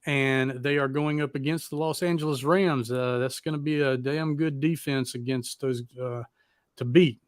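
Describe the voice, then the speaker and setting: Low-key American man, blunt rambling talk. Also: dry recording, clean studio sound. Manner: blunt, heavily profane, rambling. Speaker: co-host of a rock and metal talk show. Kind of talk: casual chat.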